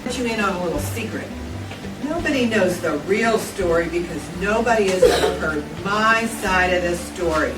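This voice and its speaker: A woman's voice: lowish voice, animated, normal speed. A woman reading aloud in an amateur reader's theater performance. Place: echoey room, recorded from a distance. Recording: echoey room, far mic, music behind.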